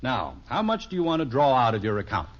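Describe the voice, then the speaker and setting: Genial American sitcom dad, middle-aged, mock-formal and patient, very animated delivery, muffled 1950s radio sound. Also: male voice, medium pitch, quick pace. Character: genial middle-aged father playing banker to his children.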